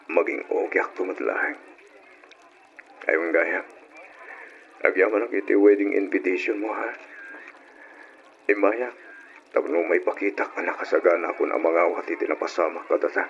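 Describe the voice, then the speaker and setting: Casting: a jilted, forgiving suitor, low earnest voice, radio drama on a muffled, noisy recording. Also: male voice, fairly even intonation, moderate pace, pauses often. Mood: resigned, gracious. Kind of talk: Ilocano radio drama acting.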